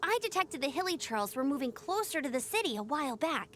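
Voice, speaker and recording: An actress voicing a peppy, dutiful young female scout who talks in an energetic, earnest way. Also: high voice, fast, a little hiss or hum.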